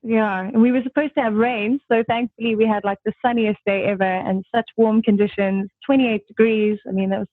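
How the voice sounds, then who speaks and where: A young South African woman chats as a guest over a video call, laughing often and filling pauses with "um." Her voice sits on the higher side. The sound is dry and thin, like a phone line. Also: fairly even intonation, dry recording. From South Africa, Durban. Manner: chatty, laughs often, many fillers. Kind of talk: casual video-call chat. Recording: dry tinny call audio.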